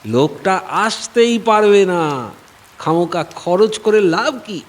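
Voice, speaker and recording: A man's voice: sits high, measured pace. A man playing a wry, tight-fisted Bengali husband and father-in-law in an audio drama, with droll colloquial delivery. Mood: smug.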